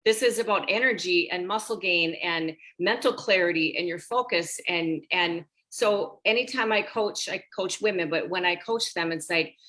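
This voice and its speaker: A woman in her sixties, a women's health coach, chatting animatedly on a group video call and talking straight to the group. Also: mid-range voice. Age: 60s. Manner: animated, talks straight to the group as "you guys".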